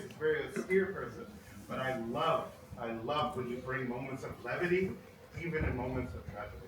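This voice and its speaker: A man asking a question from the audience, speaking loudly without a microphone. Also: a little high, far from the mic. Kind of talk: public Q&A question. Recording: very echoey hall from afar, with noise.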